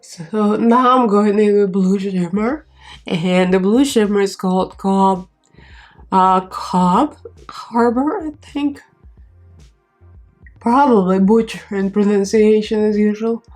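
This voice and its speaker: A woman's voice: medium pitch, slow, a little mellow, soft background music. A woman with a stuffy, nasal voice from a cold, chatting casually as she walks through a makeup tutorial. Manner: chatty, rambling with fillers, talks while applying makeup. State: congested, nasal, strained voice from a cold. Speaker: beauty vlogger.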